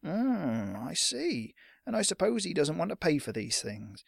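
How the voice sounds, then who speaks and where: A fat village shopkeeper from a fantasy audiobook, put on by a male narrator, pitched up high. He is suspicious, brusque and condescending, with a haughty way of addressing youngsters. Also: very animated, unhurried, vocal fry, breathy edge, no room sound, clean studio sound, exaggerated voice.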